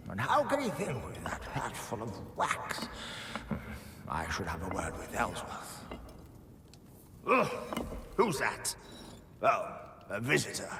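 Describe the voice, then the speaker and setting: A man's voice: medium pitch, noisy background, exaggerated voice. An actor voicing a scornful, grumbling repairman who mutters sneering asides to himself, in an echoey game recording.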